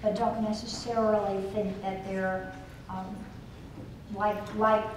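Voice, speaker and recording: Older woman, keynote speaker, halting with fillers, echoey hall, distant mic, noisy. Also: medium pitch, level tone. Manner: thoughtful, hesitant with many fillers. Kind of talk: lecture and audience Q&A. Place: large conference hall.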